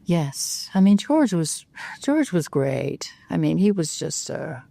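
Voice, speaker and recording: An older woman speaks in a low register, with a close and dry sound. She rambles easily through her stories. She leans on frequent fillers and self-corrections. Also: big pitch swings, slow pace, vocal fry, mellow, dry recording. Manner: rambling, many "you know" fillers, restarts. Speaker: actress, guest. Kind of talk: casual interview chat.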